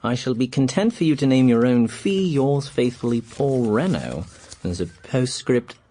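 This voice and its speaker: An actor playing a genial, naive gentleman, a detective's loyal companion, narrating and talking. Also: mid-range voice, expressive, normal speed, lightly acted. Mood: earnest. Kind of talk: radio drama narration and dialogue.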